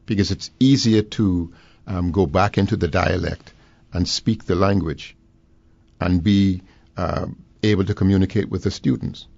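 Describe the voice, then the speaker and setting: A man with a low, gravelly voice speaks in a deliberate, formal, lecturing manner on a radio talk show. The sound is dry and dull, like lo-fi broadcast audio. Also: steady tone, darkish tone, dry recording. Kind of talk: measured talk radio discussion.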